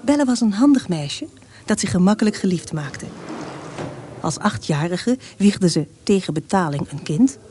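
Mellow female radio drama narrator. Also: medium pitch, animated, moderate pace, a little hiss or hum.

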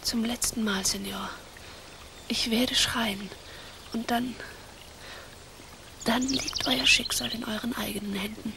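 A woman's voice: a little high, steady tone, slow, vocal fry, pauses often, background noise. An actress playing a proud, spirited young noblewoman in a radio play, speaking formally and dramatically. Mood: urgent, hushed.

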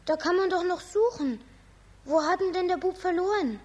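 Children's radio drama: small polite girl, very high pitched, anxious, plaintive. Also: normal speed. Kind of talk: children's radio play acting.

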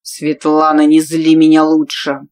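Brief: woman putting on a brutal male warlord in an audiobook, slow, loud, growling menace. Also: deep voice, little pitch movement. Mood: menacing.

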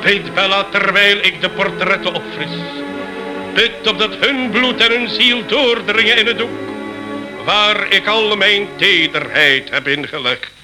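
A woman's voice: mid-range voice, measured pace, natural voice. A woman playing a fervent sorceress in a radio drama, solemnly intoning like a ritual incantation. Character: fervent sorceress chanting incantations over portraits. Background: music.

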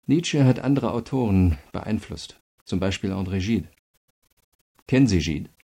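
Deep, gravelly state prosecutor. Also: male voice, animated, mellow, heavily acted. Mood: measured, explaining. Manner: measured, insistent, pointed questioning. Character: calm, probing male state prosecutor interrogating a prisoner. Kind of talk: German radio crime drama acting.

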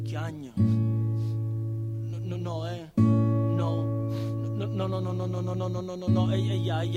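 An actor playing an anxious, naive, childlike son in a radio drama, with a Neapolitan accent and a stammering, repetitive way of talking. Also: male voice, fairly high pitch, lightly acted. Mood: worried, gentle.

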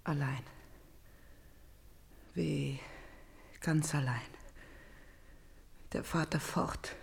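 An actress playing a grieving, vengeful princess in a classical tragedy radio play, with intense, measured delivery. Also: female voice, low voice, fairly even intonation, creaky, breathy edge, heavily acted. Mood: anguished, hushed. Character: Elektra, grieving, vengeful princess calling to her murdered father.

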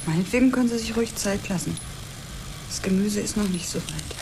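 A woman's voice: mid-range voice, brisk, background noise, natural voice. An actress playing a bold, dreamy stowaway woman in a radio play, speaking in a poetic, dramatic and coolly teasing way. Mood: relaxed, teasing. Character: dreamy, bold female stowaway, theatrically romantic and self-possessed. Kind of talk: German radio play acting.

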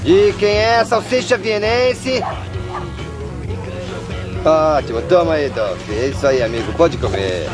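A goofy dim-witted dog groomer. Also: male voice, on the high side, lively intonation, a little slow, pauses often. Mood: teasing. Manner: chatty, teasing, mock-scolding. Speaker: dubbing actor. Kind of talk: Brazilian Portuguese film dub. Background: film music.

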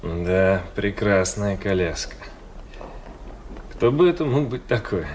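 An actor playing a cultured gentleman traveler, giving measured, literary narration and dialogue in a radio play. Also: lowish voice, lively intonation, a little hiss or hum. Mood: curious. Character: cultured young gentleman officer, traveling writer telling his story.

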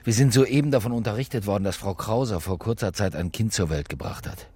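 An actor playing a probing, weary police detective in a radio crime drama, with a husky voice and terse, sardonic questions. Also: male voice, lowish voice, quick pace, mellow, no room sound. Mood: pressing, accusing.

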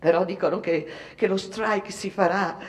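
A woman's voice: medium pitch, steady tone, moderate pace, natural voice. An actress playing a fretful, disapproving immigrant seamstress, telling her story in a conversational theatrical monologue. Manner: conversational, repeating words, mimicking others. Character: Italian immigrant factory seamstress, disapproving, fretful mother's confidante. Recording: long reverberant hall.

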